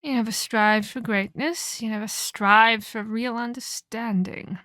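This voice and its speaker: A woman's voice: a little high, steady tone, measured pace, sharp tone, dry recording, clean studio sound. A woman playing a cruel, domineering ancient vampire in a dramatic ASMR roleplay, with a menacing, condescending, theatrical delivery and whispered emphasis. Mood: cold, scornful. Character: cruel, domineering ancient female vampire scolding her captive pupil.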